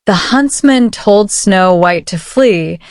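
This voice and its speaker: A woman narrating a children's picture book aloud with measured, careful phrasing. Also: mid-range voice, lively intonation, clear, resonant tone, no echo, studio quality. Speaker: storybook narrator. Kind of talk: children's read-aloud storytelling. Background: soft music.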